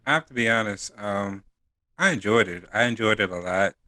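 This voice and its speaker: A man co-hosting a podcast, talking casually in a slow, monotone, halting way. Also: fairly low pitch, no room sound, studio quality. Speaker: podcast co-host. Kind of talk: casual film discussion.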